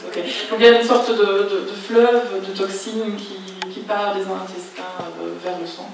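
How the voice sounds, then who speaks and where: A woman interprets a lecture phrase by phrase, hesitating often and correcting herself. Her delivery is flat, and she is heard from a distance in a big reverberant hall with constant room noise behind. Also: mid-range voice, unhurried. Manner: hesitant, many fillers and self-corrections. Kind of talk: consecutive interpreting. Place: lecture hall at a business school. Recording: echoey lecture hall from far off.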